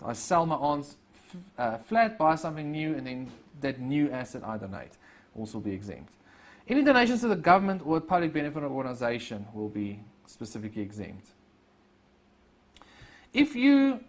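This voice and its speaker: Lively tax lecturer. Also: male voice, fairly high pitch. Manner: explains with lively everyday examples and quoted asides. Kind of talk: recorded lecture over slides. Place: voice-over on slide screen recording.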